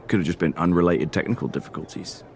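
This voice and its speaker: A man playing a wrestling show manager in a game cutscene, reassuring and dismissive in measured corporate talk. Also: sits low, a little mellow, slight background noise. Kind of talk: video game cutscene acting.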